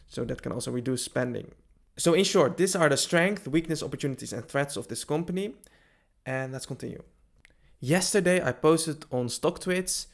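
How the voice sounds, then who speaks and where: A man hosting a financial analysis video, explaining points in plain, step-by-step fashion. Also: mid-range voice. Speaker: host of a stock analysis video.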